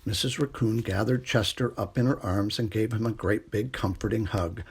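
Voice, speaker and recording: A man's voice: on the low side, steady tone, normal speed, breathy edge. A man narrating a children's storybook expressively as a storytime reader.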